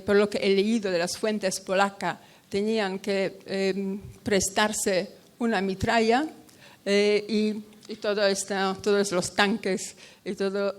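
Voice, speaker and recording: A woman gives a conference talk in a language that is not her first. She hesitates often, restarting phrases and filling gaps with "eh", at a middling pitch for a woman. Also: steady tone, measured pace. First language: Polish. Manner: hesitant, many fillers and restarts. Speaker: conference speaker. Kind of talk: academic talk at a panel.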